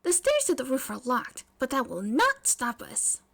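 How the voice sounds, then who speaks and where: An exuberant teenage girl inventor, played by a woman, very high in pitch and brash. She talks in loud, emphatic bursts, full of eager confidence, as in a fan-made audio story. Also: moderate pace, gravelly, exaggerated voice. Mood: confident. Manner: loud, excitable, emphatic delivery. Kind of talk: fan fiction audio drama.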